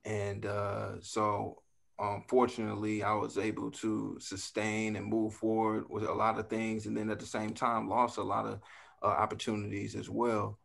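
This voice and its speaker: A man's voice: on the low side, completely flat delivery, moderate pace, gravelly, a bit bright. A man in his thirties, an actor, talking in a laid-back, rambling way with lots of fillers, on a video call from home. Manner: laid-back, talks freely with fillers and asides. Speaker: actor guest. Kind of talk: casual video call chat. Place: home room, indoors.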